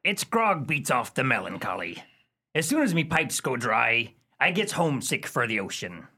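One-eyed pirate: husky, sharp, bloodthirsty but sentimental, broad theatrical dialect for radio comedy. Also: male voice, medium pitch, very animated, moderate pace, full character voice. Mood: maudlin. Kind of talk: comic radio play acting.

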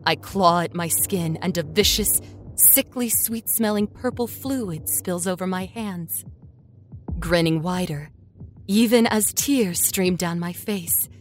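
A woman narrating a first-person horror story with deliberate, measured, dramatic intensity. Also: fairly low pitch. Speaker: storyteller, voice actor.

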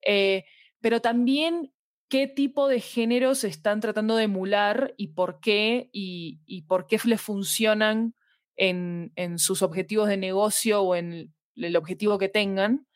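A woman with a Latin American Spanish accent talks through her ideas in a reflective interview. Her pitch is average, and the recording is dry and close. She builds long, considered thoughts and checks in with short tag questions. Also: steady tone, a little slow, no room sound. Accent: Latin American Spanish with voseo. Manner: thoughtful, discursive, seeks agreement with tag questions. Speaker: podcast guest, fiction podcast creator.

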